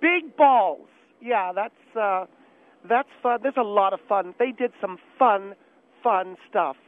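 Man putting on a high-voiced, condescending woman on the phone. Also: takes it slowly. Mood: breezy, reminiscing. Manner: condescending, needling, self-righteous. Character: opinionated, condescending married woman radio guest. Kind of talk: talk radio debate in character. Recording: narrow band phone line.

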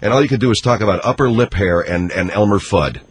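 A man's voice: on the low side, fast talker, a little hiss or hum. A man hosting a talk radio show. He is easygoing and teasing, and he reins in his callers when they get out of hand.

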